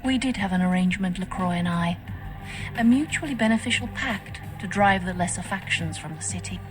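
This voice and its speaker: A woman's voice: on the low side, close to an everyday voice. An actress playing a composed, scheming female vampire faction leader in a video game, speaking formally. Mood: composed, confiding. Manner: formal, measured, stately. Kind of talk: video game dialogue acting. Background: music.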